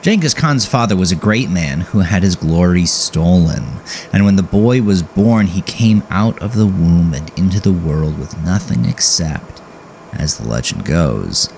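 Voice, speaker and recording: Very deep gravelly man narrating horror. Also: lively intonation, a little mellow, a little hiss or hum, low-quality recording. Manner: grave, measured, dramatic delivery with drawn-out words. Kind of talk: scripted dark horror story narration.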